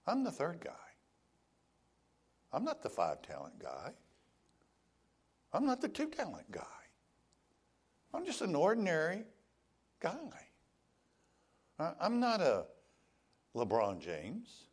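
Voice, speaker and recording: A man's voice: a little high, breathy edge. A male pastor preaching a sermon, telling stories and voicing the people he quotes.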